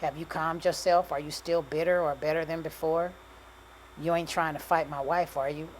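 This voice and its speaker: A woman's voice: low-pitched, fairly even intonation, moderate pace, a little hiss or hum, lightly acted, low-quality recording. A woman voicing a cocky, blunt young male rapper with dismissive street slang, in audiobook dialogue. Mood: casual, cool.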